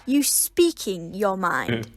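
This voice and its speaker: An actress playing a prim, easily flustered club organiser in an audio drama, speaking in a brisk, clipped, humourless way. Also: female voice, mid-range voice, wildly swooping pitch. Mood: stern, annoyed.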